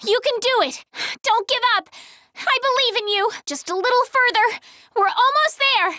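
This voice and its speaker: A woman playing a cheerful, supportive teenage girl companion in a fantasy video game, with a perky, expressive delivery. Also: extremely high pitch, normal speed, heavy background noise. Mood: cheering.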